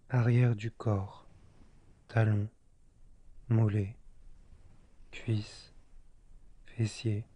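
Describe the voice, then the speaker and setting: Male guided-relaxation voice: very mellow and dark, very slow, soothing, with long pauses between short instructions. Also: fairly low pitch. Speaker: meditation guide. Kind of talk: guided yoga nidra relaxation.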